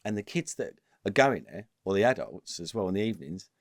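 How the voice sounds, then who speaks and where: A low-voiced man talks business on a podcast, animated and rambling, often restarting his sentences. The sound is close and dry, with no room around it. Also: brisk, no echo. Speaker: podcast guest, company executive. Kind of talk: casual business interview.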